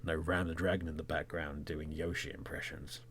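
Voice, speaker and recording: A man hosting a podcast, talking reflectively in a measured, conversational way, in short phrases. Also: a bass voice, flat delivery, a bit bright, slight background noise. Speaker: podcast host and presenter.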